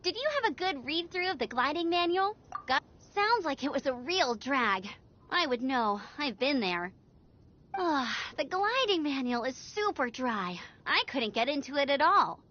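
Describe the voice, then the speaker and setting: A woman's voice: high-pitched, big pitch swings, unhurried, bright, forceful tone, lo-fi sound, exaggerated voice. A woman playing a perky, bossy but friendly young female examiner in a video game, chatty, playful and emphatic. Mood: excited, chatty. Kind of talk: animated video game voice acting.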